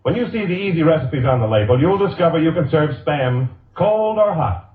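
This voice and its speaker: An upbeat American man reads 1940s radio ad copy in a fairly high voice. He stresses the selling points with big melodic swings. The sound is a thin, narrow old broadcast with echo around it. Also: lively intonation, fairly quick, far from the mic. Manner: upbeat, emphatic salesmanship. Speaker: radio commercial announcer. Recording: boxy vintage radio recording, echoey, narrow band.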